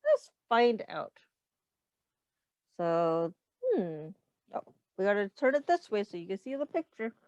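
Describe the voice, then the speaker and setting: A woman teacher reading a picture book aloud to young children, explaining in simple words, asking questions and pausing for answers. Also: mid-range voice, very animated, a little mellow, no room sound, dull audio. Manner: addresses children directly, pausing for their answers.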